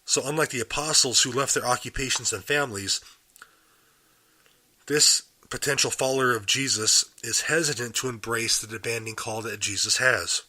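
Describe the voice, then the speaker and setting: Male podcast host getting over a cold: hoarse, bright and pressed, earnest teaching with questions to the listener. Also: mid-range voice, moderate pace. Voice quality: raspy. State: recovering from a cold, raspy. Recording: live and unedited.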